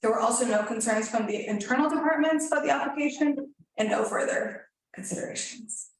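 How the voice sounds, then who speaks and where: A woman staff planner presenting a formal report to a council, then answering questions in a measured, slightly tentative way. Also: fairly high pitch, a little hiss or hum. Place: council chamber. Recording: very echoey council chamber.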